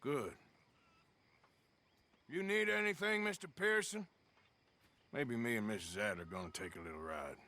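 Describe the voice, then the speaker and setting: An actor playing a gruff, sardonic outlaw of the American West in a video game, drawling in an American accent. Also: male voice, mid-range voice, measured pace, pauses often, pushed and bright. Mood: stern, wry. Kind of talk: dramatic video game cutscene acting.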